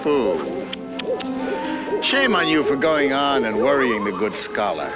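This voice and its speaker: An actor playing a jolly, superstitious older country wagoner, folksy, theatrical and full of chuckles, in a television period tall tale. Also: male voice, mid-range voice, big pitch swings, slow pace. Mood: folksy, amused. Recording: muffled VHS with music behind.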